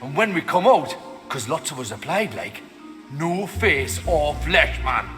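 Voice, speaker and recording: An actor voicing a bitter, wry faceless undead mutant with a colloquial Geordie accent in a video game. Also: male voice, a little high, very animated, unhurried. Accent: Geordie English. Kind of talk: video game cutscene acting. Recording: long reverb, music behind.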